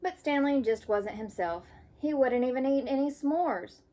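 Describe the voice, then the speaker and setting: A woman with a fairly high-pitched voice reads a children's story aloud. She sounds like a teacher telling it expressively to young pupils. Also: animated, normal speed, warm tone, slight background noise.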